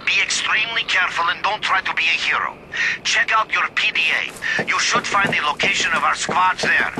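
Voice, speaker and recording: High-voiced male commander. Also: a little fast, noisy background. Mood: cautioning, businesslike. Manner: instructive, cautioning. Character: male faction commander briefing a mercenary. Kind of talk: video game mission briefing. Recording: music behind the voice.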